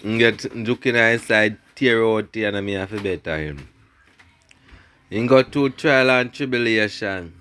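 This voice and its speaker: A man's voice: fairly low pitch, measured pace, a bit bright. A middle-aged man with a Jamaican accent chatting casually in patois for a home vlog, often repeating his phrases. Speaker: family vlogger. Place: outdoors on a wooden veranda at home. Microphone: handheld phone.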